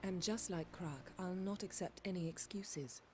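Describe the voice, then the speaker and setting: An actress playing a haughty, elegant sorceress who speaks in a dry, sardonic, softly cutting way. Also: female voice, lowish voice, unhurried, lightly acted. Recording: game cutscene with music behind.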